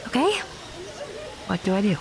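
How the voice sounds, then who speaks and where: A woman playing a hesitant, soft-spoken young woman in an audio drama. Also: mid-range voice, very animated, moderate pace, noisy background.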